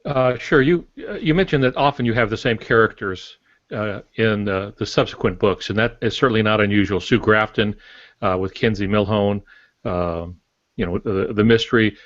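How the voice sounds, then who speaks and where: Low-voiced older man co-hosting a podcast. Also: normal speed, no echo, highs cut off. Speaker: podcast co-host. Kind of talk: casual explanatory podcast talk.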